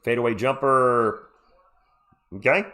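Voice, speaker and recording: A man's voice: mid-range voice, steady tone, slow, sharp tone. A man who is sick with a cold, hosting a casual live play-by-play stream and chatting jokily with viewers. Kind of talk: casual sports commentary and chat with viewers.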